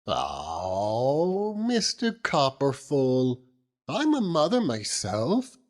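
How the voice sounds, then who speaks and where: A man's voice: medium pitch, huge pitch swings, extremely slow, pitched above the performer's own voice, heavily acted. A man voicing a nosy, motherly middle-aged housekeeper in an audiobook, with gushing, folksy, uneducated speech. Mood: confiding, knowing. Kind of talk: dramatised audiobook character reading.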